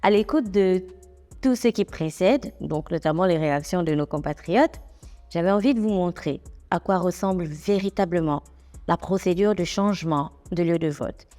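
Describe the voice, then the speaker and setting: A young adult woman, presenting civic information in a clear, measured, explanatory way. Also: lowish voice, mellow, in a large hall, soft background music. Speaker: radio host and reporter.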